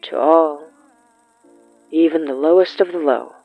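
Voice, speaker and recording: A narrator telling a scripted literary horror story in a measured way, with dramatic pauses between short phrases. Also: medium pitch, no echo, phone-quality sound, faint music behind. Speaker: narrator of a horror fiction podcast.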